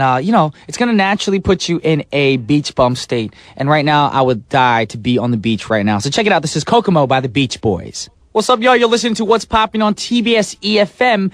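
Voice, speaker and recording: Brief: upbeat male radio DJ, slightly high voice, dry close studio mic. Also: big pitch swings, a little fast, few pauses, dry recording. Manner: playful, breaks into sung snippets and silly voices. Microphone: studio microphone.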